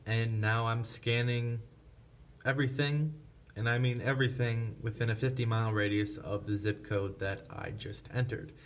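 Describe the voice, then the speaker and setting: A man's voice: mid-range voice, fairly even intonation, moderate pace. A man presenting a casual hands-on product tutorial, explaining informally step by step without a script. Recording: clear background noise.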